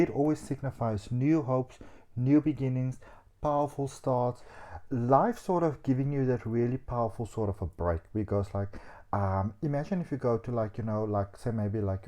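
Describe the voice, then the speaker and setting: Mellow-voiced man giving a tarot reading. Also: fairly low pitch. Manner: chatty and animated, with frequent "um" and "you know". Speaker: psychic tarot reader.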